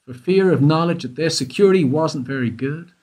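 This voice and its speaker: A man's voice: on the high side, brisk, a little mellow, slightly rough. An actor playing a persistent male investigator who needles a witness with questions in a radio play. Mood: probing. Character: probing male detective questioning a guard. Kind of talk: amateur radio play acting.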